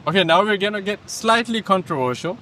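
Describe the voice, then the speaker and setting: A man's voice: sits high, animated, fairly quick, pushed and bright, a little hiss or hum. Casual male street interviewer. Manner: casual, probing follow-up questions. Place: city street outdoors.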